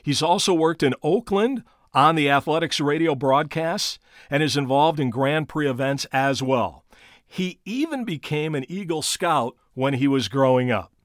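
A man's voice: a little high, lively intonation, a little slow, no room sound, studio quality. A man hosting a podcast, speaking in emphatic, motivational phrases as he presents and asks questions. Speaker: podcast host. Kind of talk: podcast monologue and interviewing.

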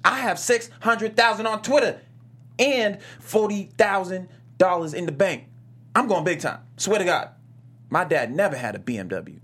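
An actor playing a cocky, laid-back young male pro gamer at a comedy table read, casual and boastful with a husky voice. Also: mid-range voice, animated, normal speed, a bit bright. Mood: defensive, boastful.

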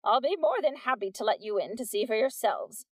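A woman's voice: on the high side, big pitch swings, no room sound, studio quality, voice pushed up, full character voice. A woman voicing a scheming middle-aged mother who speaks theatrically and evasively to a crowd. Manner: theatrical, slow, smooth-talking.

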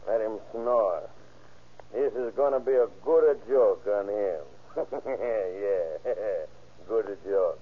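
An actor playing a cruel, gloating gangster with sneering, slangy tough-guy talk in an old-time radio crime drama. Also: on the low side. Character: gloating, cruel armed stick-up gangster, leader of the gang. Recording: muffled, narrow-band vintage radio recording.

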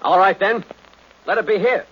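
An actor playing a blunt, forceful tough man in an old-time radio mystery. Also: high-pitched, moderate pace, natural voice. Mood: menacing. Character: male heavy and policeman in radio mystery. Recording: dull, noisy vintage radio recording.

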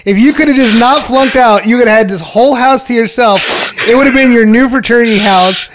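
A man in his forties hosts a casual podcast interview. He is chatty and keeps jumping in with stories of his own. His voice sits fairly high for a man, with a faint noise under the recording. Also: fairly quick. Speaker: podcast host.

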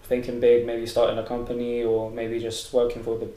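A man's voice: mid-range voice, completely flat delivery, brisk, dark tone. A sixteen-year-old male, answering interview questions casually. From West London. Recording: echoey room.

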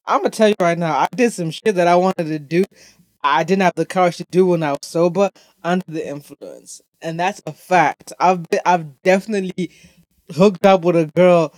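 A man's voice: high-pitched, normal speed, no room sound, low-quality recording. This is a young man from Zimbabwe hosting his own podcast. He talks in a casual, opinionated rant full of slang and swearing, and he drives points home by repeating them. The sound is dry, with very little room around his voice. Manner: emphatic, repetitive, heavy swearing. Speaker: podcast host.

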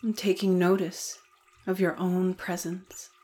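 A woman leading a guided meditation in a soothing, deliberate manner with gentle pauses. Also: on the low side, little pitch movement.

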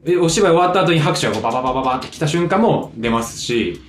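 A man chatting casually as a podcast co-host, animated and explaining things with vivid sound words. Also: on the high side, very fast, roomy sound, a little hiss or hum. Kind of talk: casual chat.

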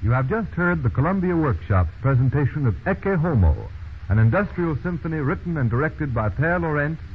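Muffled noisy old broadcast, male announcer, formal, higher-pitched, lively declamatory 1930s delivery. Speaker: radio announcer. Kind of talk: scripted 1930s radio announcing.

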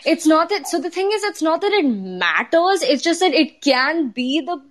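Woman chatting, bit high pitched, encouraging and thoughtful. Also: lively intonation, moderate pace. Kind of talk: casual chat.

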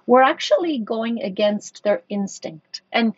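Woman, executive guest, husky mid voice, dull dry podcast sound, thoughtful and hesitant. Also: a little high, expressive, measured pace, no echo. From Ireland. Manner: thoughtful, many restarts and fillers. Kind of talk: conversational podcast interview.

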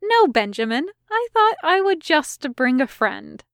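A woman's voice: high voice, very animated, unhurried, no room sound, clean studio sound, pitched above the performer's own voice, full character voice. A woman voicing a breezy female covert agent and kidnapper in an audio story, sardonic, condescending and sweetly chatty. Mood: dry, sardonic.

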